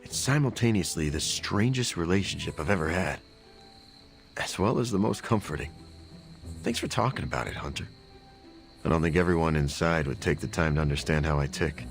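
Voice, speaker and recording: A guarded antihero bonded to a symbiote, talks low and gravelly in a video game. The delivery is casual and wry, with self-deprecating candor. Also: male voice, a little fast, soft background music. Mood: candid, guarded. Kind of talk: conversational video game acting.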